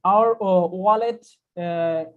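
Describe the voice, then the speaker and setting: Slow, halting man on a call. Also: high-pitched. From Bangladesh. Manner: halting, many uh pauses, searching for words. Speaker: meeting participant. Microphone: video call. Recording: dull lo-fi video call audio.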